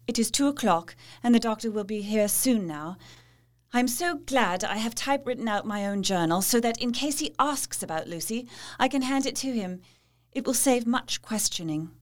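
A fairly high female voice, an actress as an earnest young Victorian wife in an audio drama. She is proper and anxious, confiding her thoughts like diary entries. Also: animated, moderate pace, natural voice.

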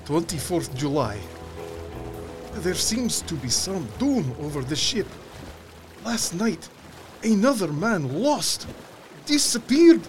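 A man playing a troubled sea captain who reads out his ship's log in terse, deliberate phrases. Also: sits high, big pitch swings, over music, heavily acted. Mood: uneasy, dread. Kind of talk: dramatic, clipped ship's log narration. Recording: water and boat ambience behind voice.

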